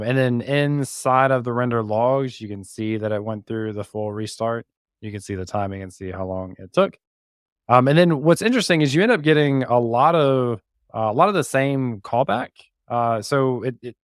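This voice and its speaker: A man's voice: medium pitch, no echo, studio quality. A man giving a casual, informal technical walkthrough in an explanatory way. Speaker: podcast co-host and developer demonstrating a project.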